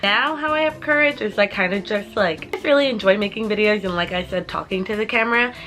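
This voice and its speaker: A woman's voice: medium pitch, fairly quick, sharp tone, faint music behind. Chatty young woman rambling to camera. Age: 20s. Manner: chatty, rambling with many "like" fillers. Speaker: vlogger. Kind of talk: casual Q&A chat to camera.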